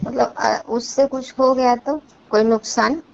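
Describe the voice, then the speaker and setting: Gravelly Bihari-accented woman, lo-fi call. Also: a little high, steady tone, a little slow, a little mellow. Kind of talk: casual phone chat. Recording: dull lo-fi call recording, clear noise.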